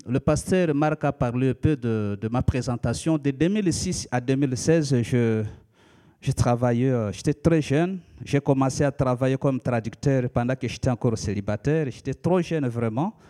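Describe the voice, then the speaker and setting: A man in his thirties from the Democratic Republic of the Congo, a native Lika speaker, preaching in a church in a measured teaching manner with frequent hesitations. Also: medium pitch, dark tone. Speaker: pastor. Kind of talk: sermon.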